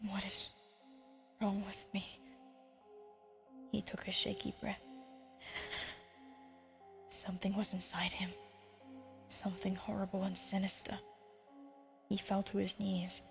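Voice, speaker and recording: A woman's voice: mid-range voice, completely flat delivery, measured pace, creaky, pauses often. A woman who reads her own horror fan story as narrator and chats casually as its author. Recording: music under the voice.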